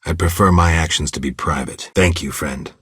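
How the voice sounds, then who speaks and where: Casting: stoic, not quite human game monster hunter; very deep bass, husky gravel, laconic deadpan lines. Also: male voice, moderate pace, dry recording, clean studio sound, heavily acted. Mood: calm, laconic. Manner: terse, deadpan, guarded. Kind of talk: video game dialogue acting.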